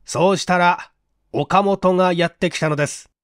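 A man voicing a courteous, earnest police detective chief explaining things in a measured, polite way in an audiobook. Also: high voice, fairly even intonation, no echo, clean studio sound, natural voice. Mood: grateful, explanatory. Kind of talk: dramatic audiobook dialogue.

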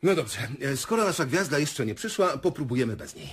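An actor playing a fussy, bossy theatre director in a radio play, repeating himself in a patronising, chivvying way. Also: male voice, mid-range voice, big pitch swings, fairly quick, husky. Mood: irritated.

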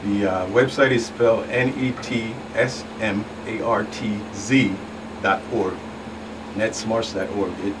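Male guest investigator, lowish pitch, methodical explainer, recorded at a distance with background noise. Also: steady tone, unhurried. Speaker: investigating agent, guest expert. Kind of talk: explanatory radio interview answers. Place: small radio studio. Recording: noisy, distant mic with room hum.

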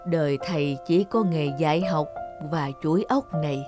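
A wise old village teacher from an animated folk tale, voiced by a woman. He speaks gently and slowly settles lower. Music plays in the background. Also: natural voice. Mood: tender. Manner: gentle, fatherly.

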